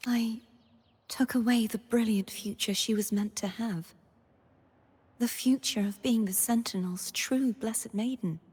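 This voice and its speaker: A gentle young maiden-knight from a fantasy game, speaks in a soft, breathy voice that sits a little high. She is earnest and solemn, choosing her words like a quiet vow. A long reverb trails after her. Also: steady tone, unhurried. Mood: remorseful, tender. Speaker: game character voice actor. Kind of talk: scripted character monologue.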